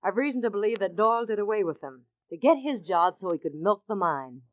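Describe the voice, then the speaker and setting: A woman's voice: medium pitch, lively intonation, a little fast, dry recording, lightly acted. An actress playing a tough, frank, plucky American woman in an old radio drama. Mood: rueful, confiding. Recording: dull old radio recording.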